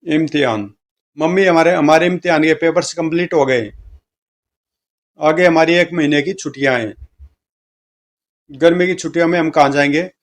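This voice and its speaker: A man's voice: fairly high pitch, moderate pace, long pauses. A man reading comic strips aloud as a story narrator, speaking the dialogue of each character. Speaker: comic book reader, narrator.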